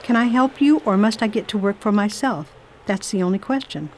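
A woman's voice: mid-range voice, a little fast, a little hiss or hum, close to an everyday voice. A woman playing a determined lady volunteer nurse, speaking firmly and properly in a radio drama. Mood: resolute. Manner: firm, commanding, proper. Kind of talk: amateur radio drama acting.

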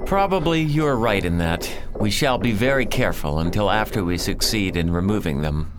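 Guy as a prim squeamish villain sidekick in radio drama, formal n fussy, lots of pitch swing, noisy recording. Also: expressive, moderate pace, close to an everyday voice. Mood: stuffy, defensive. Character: squeamish, stuffy scheming male businessman henchman.

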